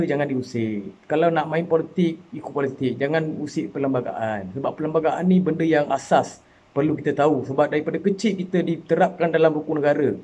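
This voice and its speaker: A man's voice: on the high side, a little mellow. A man from Malaysia making an earnest, impassioned personal appeal, repeating himself and rising into emotional pleas. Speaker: citizen speaking out.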